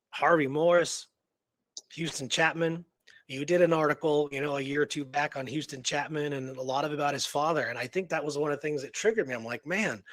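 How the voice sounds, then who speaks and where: A man with a fairly high voice co-hosts a casual history discussion. He talks conversationally, thinking aloud with frequent "you know"s. The sound is very dry, with no room around it. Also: fairly bright tone, no room sound. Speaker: co-host of a history show.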